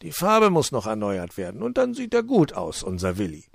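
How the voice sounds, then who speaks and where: A man voicing a jovial, hospitable male fox in a storybook, speaking with cheerful, polite warmth. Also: medium pitch, huge pitch swings, normal speed, creaky, dry recording, clean studio sound, voice pushed up, full character voice. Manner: warm, cheerful, politely formal. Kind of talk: storybook character voice.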